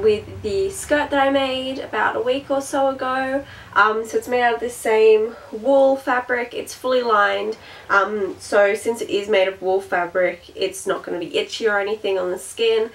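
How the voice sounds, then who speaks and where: A woman's voice: on the high side, steady tone, measured pace, a bit bright, recorded from a distance, a little hiss or hum. A woman in her twenties with a slightly nasal voice from a cold, chatting through a sewing tutorial with frequent "um" fillers. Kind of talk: casual step-by-step voiceover.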